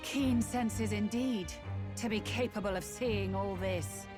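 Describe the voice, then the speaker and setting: A woman playing a serene, cold church heiress in a fantasy game, with a measured, lofty, theatrical delivery. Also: mid-range voice, over loud music, lightly acted. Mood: coolly menacing. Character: serene, cold church heiress, a self-appointed saintly villainess. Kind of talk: dramatic fantasy game acting.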